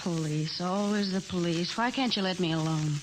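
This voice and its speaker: A woman's voice: fairly low pitch, normal speed, background noise, close to an everyday voice. A woman playing a defiant small-town femme fatale in 1940s radio drama, curt and slangy. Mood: irritated, guarded.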